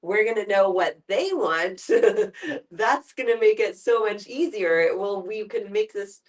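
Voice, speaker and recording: Woman, mid-range voice, animated conversational storyteller, picked up from a distance. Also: medium pitch, unhurried. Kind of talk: casual group discussion, recounting conversations.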